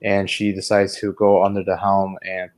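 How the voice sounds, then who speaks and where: A man's voice: low-pitched, completely flat delivery, moderate pace, a little mellow. A man co-hosting a podcast, talking through a film review in a casual, rambling, digressive way. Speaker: podcast co-host.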